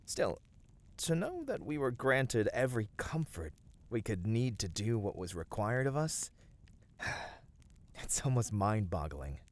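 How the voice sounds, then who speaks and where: Man acting a soothing, low-voiced noble fantasy adventurer. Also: sing-song, slow pace. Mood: relaxed, musing. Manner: soothing, earnest, formal archaic phrasing. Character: gentle, noble, reassuring male fantasy adventurer comforting a weary friend. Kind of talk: ASMR fantasy roleplay, scripted intimate voice acting.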